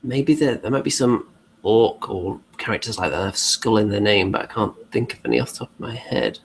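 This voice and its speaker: A man's voice: on the low side, animated, fairly quick, creaky, slight background noise. A man co-hosting a hobby show in casual conversational chat.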